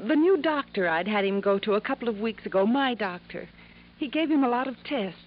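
A woman's voice: a little high, lively intonation, a little fast, close to an everyday voice. An actress playing an earnest, devoted young American niece in a 1950s radio drama, pleading emotionally for her uncle. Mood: relieved, hesitant. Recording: dull, noisy 1950s radio recording.

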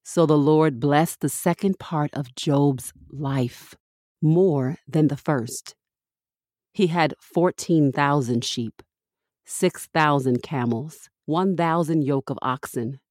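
A woman reading scripture from a script as a podcast host, with measured, deliberate phrasing. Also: deep voice, darkish tone, dry recording, clean studio sound.

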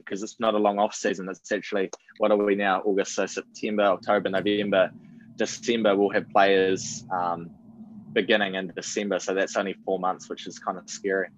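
Low, monotone team director, measured and halting; dull dry remote recording. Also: male voice, slight background noise. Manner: measured, hesitant, many fillers. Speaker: guest, team performance director. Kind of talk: casual podcast interview.

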